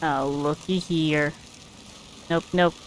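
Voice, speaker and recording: A woman's voice: sits low, fairly even intonation, slow, a little hiss or hum, low-quality recording. A woman giving casual, playful commentary as she plays a game, reacting aloud. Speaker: game streamer.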